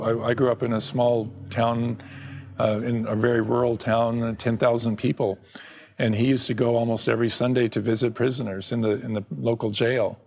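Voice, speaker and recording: An older man talks in a halting, searching way as a guest in a TV studio interview. His voice sits at an ordinary middle pitch and is picked up cleanly by a lapel mic. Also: vocal fry, darkish tone. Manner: halting, with many restarts and fillers. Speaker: interview guest, ministry director. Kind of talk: candid interview conversation. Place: television studio set.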